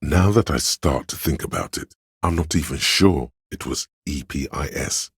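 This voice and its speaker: A man voicing a know-it-all inner voice of encyclopedic trivia in a video game, measured, pedantic and dryly wry. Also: rumbling low, breathy edge, dry recording, clean studio sound, exaggerated voice.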